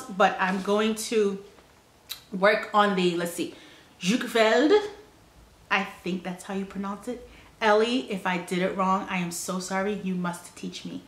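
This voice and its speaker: Young woman, mid-pitched voice, upbeat and rambling, roomy home recording. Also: a bit bright. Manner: chatty and upbeat, many fillers and restarts. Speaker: knitting podcast host. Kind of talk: casual chat to camera. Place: small indoor room at home.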